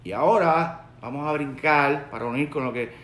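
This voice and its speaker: A man's voice: on the high side, normal speed, fairly bright tone. A man in his forties from Puerto Rico with a Puerto Rican Spanish accent, giving an earnest Torah lesson to a webcam in his study, repeating his key points. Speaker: rabbi. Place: home study with bookshelves, indoors. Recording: roomy echo.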